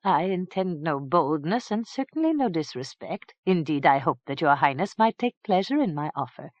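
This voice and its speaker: An audiobook narrator voicing a sly, scheming priest who talks in a smooth, ingratiating way. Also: mid-range voice, sing-song, moderate pace, dry recording, dull audio, natural voice. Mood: unctuous. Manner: smooth, ingratiating, bleating when alarmed.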